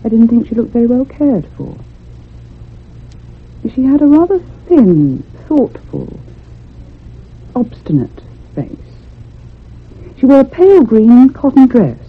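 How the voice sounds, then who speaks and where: An actress playing an anxious, curious woman in a radio drama, speaking in a measured, literary and expressive way. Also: on the high side, slightly rough, heavily acted. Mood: insistent. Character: anxious, curious convalescent unmarried woman narrating a haunting. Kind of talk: radio drama acting and narration. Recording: muffled, phone-like old radio sound.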